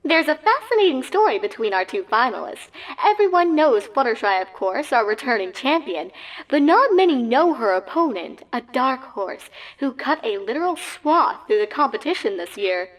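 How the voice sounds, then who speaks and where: A woman voicing an excitable pony sports announcer with hyped, showy broadcast delivery in a comedic story reading. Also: sits high, moderate pace, voice pushed up, low-quality recording.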